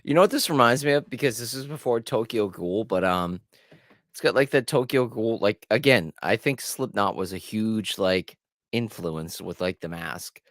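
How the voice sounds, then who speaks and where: A man's voice: fairly low pitch, animated, normal speed, a bit bright, no room sound, clean studio sound. A young man from Massachusetts with a Massachusetts accent, chatting casually and profanely as host of a manga read-along stream, packing his talk with "like". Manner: rambling and profane, full of "like".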